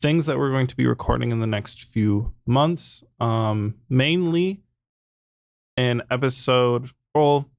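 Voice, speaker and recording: Gravelly man reading a playful plug. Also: medium pitch, slow pace, no room sound, clean studio sound. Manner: playful storyteller. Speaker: podcast co-host. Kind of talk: casual ad read and chat.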